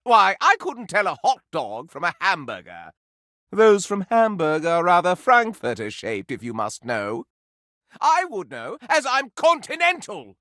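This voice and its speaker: Game role: a theatrical gossip of a candelabra, high for a man, hugely animated, bright, campy and florid. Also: a little slow, no room sound, studio quality, full character voice. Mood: grandly haughty. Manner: florid, campy, melodramatic, affected continental airs. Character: flamboyant, gossipy, theatrical male candelabra dandy. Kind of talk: theatrical video game character acting.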